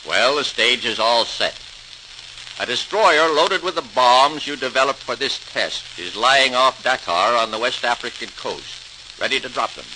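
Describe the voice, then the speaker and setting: A senior official in a vintage radio docudrama, formal and decisive. His mid-pitched voice has lively, emphatic swings, with strong hiss and crackle from the old recording. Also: measured pace. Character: authoritative senior male official presiding over scientific tests. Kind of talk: 1940s-style radio docudrama acting. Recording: noisy, sizzling old-time radio recording.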